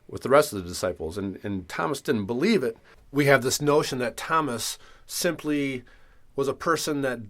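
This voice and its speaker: A middle-aged man hosting a podcast with reflective spiritual talk, earnest and thoughtful, sounding stuffy from a cold. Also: medium pitch. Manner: earnest, thoughtful, with frequent restarts. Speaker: podcast host.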